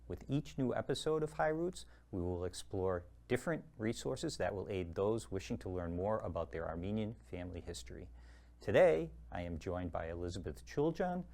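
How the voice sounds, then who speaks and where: A man hosting a genealogy program, presenting in a measured, formal way and interviewing a guest. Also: low voice, lively intonation, darkish tone. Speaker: host.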